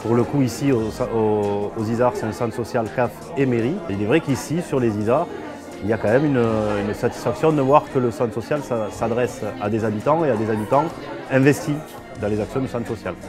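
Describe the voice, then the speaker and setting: A man's voice: medium pitch, a little fast, music in the background, a crowd in the background. A man who represents a town partner, speaking formally in an interview with some hesitations.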